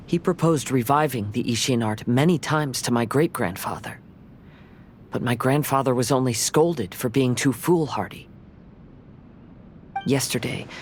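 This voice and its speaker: A man playing a gentle young wandering samurai in a video game, speaking in measured, formal, reflective phrases. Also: medium pitch, a little hiss or hum, lightly acted. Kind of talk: video game story dialogue.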